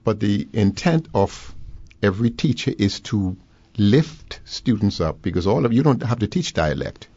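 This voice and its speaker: A man with a low, gravelly voice speaks in a deliberate, formal, lecturing manner on a radio talk show. The sound is dry and dull, like lo-fi broadcast audio. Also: very animated, darkish tone, no room sound. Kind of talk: measured talk radio discussion.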